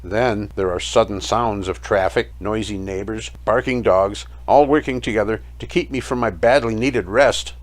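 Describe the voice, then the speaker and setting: A man playing a weary, sleepless night-shift guard, telling his story as a measured dramatic monologue in an audio play. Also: on the low side, lightly acted. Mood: weary, confiding. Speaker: narrator of a short audio play. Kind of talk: scripted first-person dramatic monologue.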